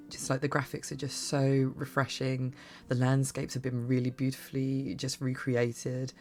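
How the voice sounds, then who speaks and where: Croaky, hoarse woman rambling happily. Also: a bass voice, a little mellow. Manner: rambling, gushing, many restarts. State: croaky from a bad cough. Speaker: game reviewer. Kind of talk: casual spoken review.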